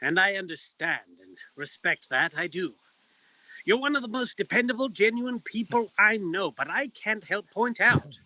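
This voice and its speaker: A man's voice: high-pitched, expressive, normal speed, sharp tone, full character voice, rough recording. An actor playing a formal, dryly witty pub owner in a comic detective stage play, fussy and precise in his wording. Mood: earnest. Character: proper, dryly witty male pub owner, loyal friend. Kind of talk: comic noir stage acting.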